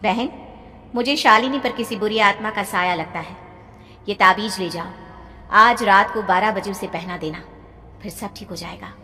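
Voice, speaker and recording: A woman's voice: medium pitch, normal speed, pushed and bright, lightly acted. A woman voicing a concerned, solicitous village occult healer in a horror storybook. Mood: grave, reassuring. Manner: solicitous, questioning. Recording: reverberant, with background music.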